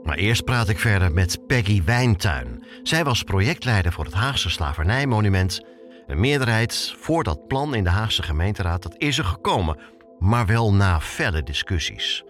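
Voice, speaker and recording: Guy hosting a podcast, low voice that moves around a lot, chatty narration, music under. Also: sing-song, moderate pace, fairly bright tone. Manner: addresses listener directly, informal. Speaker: podcast host.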